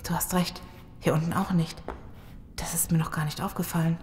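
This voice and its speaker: An actress playing an earnest, serious virologist surviving a zombie apocalypse in an audio drama. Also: female voice, low voice, flat delivery, fairly quick, darkish tone, slight background noise, natural voice. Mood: uneasy. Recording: long cavernous reverb.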